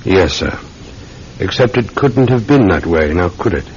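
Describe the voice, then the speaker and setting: A man's voice: deep voice, animated, unhurried, darkish tone. A man acting an earnest, methodical Scotland Yard sergeant in a classic radio drama, questioning politely and insistently. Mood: earnest, probing. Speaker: actor in a radio mystery serial. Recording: muffled old radio recording with faint hiss.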